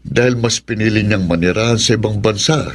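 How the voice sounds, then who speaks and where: An actor playing a lovestruck married man in a Filipino radio drama, with a husky voice. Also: lowish voice, fairly quick, natural voice. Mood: coaxing, insistent. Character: lovestruck married man, pushy suitor who later repents.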